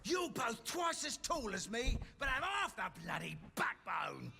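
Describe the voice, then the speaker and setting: A man playing a brash, belligerent small bandit leader in a video game, gruff and taunting as he gives orders. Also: very high-pitched, big pitch swings, measured pace, pushed and bright, soft background music, heavily acted. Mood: scornful. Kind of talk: video game character acting.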